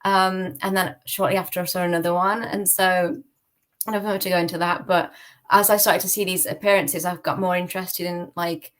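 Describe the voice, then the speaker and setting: A woman's voice: fairly low pitch, level tone, moderate pace, a bit bright. A woman from the UK with a British accent, giving personal testimony as an interview guest in a candid, informal way, often using filler phrases like "kind of". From United Kingdom. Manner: candid, conversational, peppered with "like" and "kind of".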